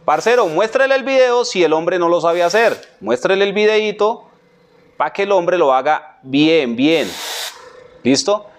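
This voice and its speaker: A man's voice: high voice, expressive, measured pace, a little hiss or hum. A man with a Colombian accent giving a casual barbering tutorial, colloquial and repetitive, addressing the viewer like a buddy. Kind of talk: casual hands-on tutorial narration.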